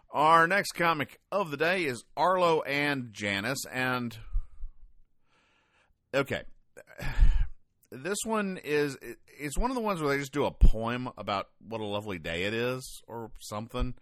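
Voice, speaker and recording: A man hosting a podcast, giving casual, wry comedic commentary in a deadpan way. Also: medium pitch, unhurried, dry recording, studio quality. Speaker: podcast host. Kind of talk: casual comedic commentary, explaining comic strips.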